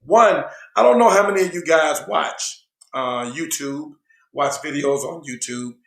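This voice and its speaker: A man's voice: a little high, measured pace, vocal fry, reverberant. A man hosting a casual online talk show in a laid-back, slangy way, saying he is losing his voice. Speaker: show host.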